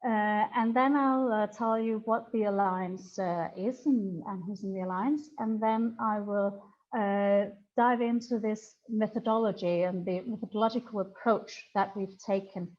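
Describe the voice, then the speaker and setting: A woman's voice: mid-range voice, steady tone, a little slow, a little mellow. Danish woman presenting over a muffled call. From Denmark. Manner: friendly, chatty, with frequent fillers. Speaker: presenter for a youth homelessness alliance. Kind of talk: online presentation. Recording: muffled online call audio.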